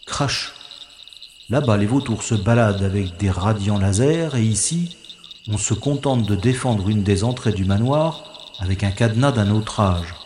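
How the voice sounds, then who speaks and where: A man playing a cautious, wry infiltrator who thinks aloud in a deliberate, dramatic monologue from an audio science-fiction adventure. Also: on the low side, a little mellow, roomy sound, a little hiss or hum, lightly acted. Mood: scornful. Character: cautious, wry male infiltrator narrating his secret mission.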